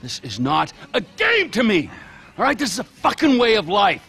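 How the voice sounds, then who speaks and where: A man playing a volatile middle-aged North American criminal in a video game, gravelly, needy and menacing, in erratic profane rants. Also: high-pitched, huge pitch swings, moderate pace, sharp tone, exaggerated voice. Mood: wounded rage. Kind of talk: video game cutscene acting.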